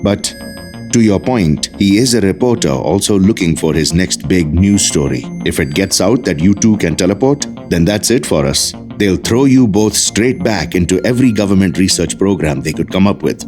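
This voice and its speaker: A man playing a calm, cautious mentor in an audio drama, speaking in a measured, formal and polite way. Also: deep voice, faint music behind. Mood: cautioning. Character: calm, cautious male mentor to two gifted teenagers.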